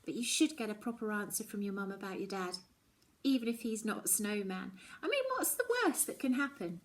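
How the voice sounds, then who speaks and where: A woman voicing a determined, caring but sceptical schoolgirl best friend in a children's audiobook, with a brisk, reassuring manner. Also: medium pitch, big pitch swings, natural voice. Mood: reassuring, practical. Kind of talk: children's audiobook character dialogue.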